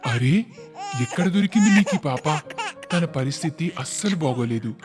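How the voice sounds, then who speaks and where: A man's voice: a little high, lively intonation, normal speed, lightly acted. A man playing a concerned, grave hospital doctor in a cartoon moral story, speaking in a subdued manner. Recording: long reverb, music underneath.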